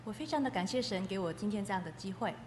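A woman's voice: medium pitch, lively intonation, rapid-fire, a little mellow. A woman interpreting a testimony aloud, phrase by phrase. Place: echoey hall. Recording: echoey hall with faint noise.

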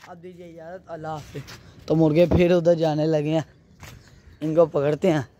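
A young man with a hoarse throat talks casually to his phone camera outdoors on a rooftop, rambling as he points things out. His voice sits a bit high and mellow, with little rise and fall. Faint background noise runs underneath. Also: little pitch movement, moderate pace. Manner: chatty, loosely rambling while showing things. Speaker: vlogger. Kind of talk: casual vlog chat. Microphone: handheld phone.

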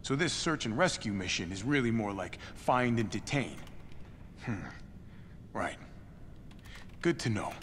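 A man's voice: mid-range voice, animated, a little slow, pauses often, a bit bright, slight background noise. An actor playing a determined, protective male action hero, informal and plain-spoken, in a video game cutscene. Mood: wary, focused.

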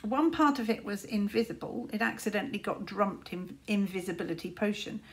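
Expressive woman reading a storybook. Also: medium pitch, poor audio. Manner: deliberate, dramatic emphasis. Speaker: teacher reading to pupils. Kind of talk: expressive children's storybook narration. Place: small room indoors.

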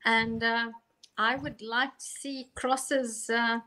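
A woman's voice: fairly high pitch, flat delivery, takes it slowly, sharp tone. A middle-aged woman giving her opinion conversationally as a panelist on a video call. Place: indoor room on a video call.

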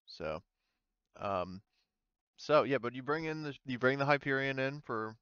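A man narrating a tabletop roleplaying game as game master in an informal, halting way. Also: medium pitch, animated, a bit bright, clean tone, dry recording, lo-fi sound.

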